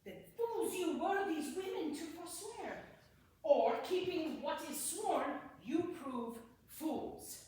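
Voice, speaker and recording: Woman playing a high-voiced lovestruck lord, echoey hall. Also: animated, darkish tone, exaggerated voice. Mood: rapt, persuasive. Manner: declamatory, rhetorical, with dramatic pauses. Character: witty, passionate young lord, eloquent lovesick male scholar. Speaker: amateur stage actor in a Shakespeare reading. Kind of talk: theatrical Shakespearean verse, staged reading. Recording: distant, echoey hall with faint noise.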